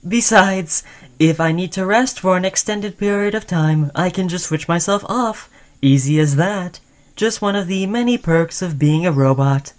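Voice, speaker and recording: Woman playing a caring, devoted robot companion. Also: on the low side. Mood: friendly, reassuring. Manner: chatty, soothing, addressing listener as human friend. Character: friendly, caring, obsessively devoted sentient robot crewmate. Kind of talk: scripted ASMR roleplay addressed to the listener.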